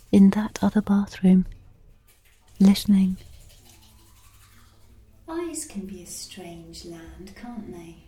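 A woman giving an intimate scripted literary narration in a hushed, measured manner, often close to a whisper. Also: lowish voice, husky, no echo. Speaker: narrator and performer of an audio playlet.

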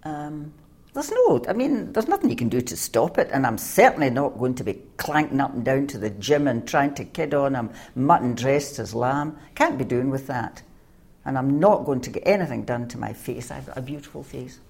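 A woman's voice: very deep voice, animated, normal speed, gravelly, darkish tone. A frank, no-nonsense woman with a Scottish accent, chatting casually in an interview.

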